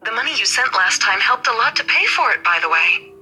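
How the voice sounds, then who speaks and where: A woman's voice: on the high side, big pitch swings, a little fast, pushed and bright, husky, heavily acted, poor audio. A woman playing a caring, hesitant middle-aged mother in a visual novel game. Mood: gentle, reassuring. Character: worried, loving mother of a struggling family.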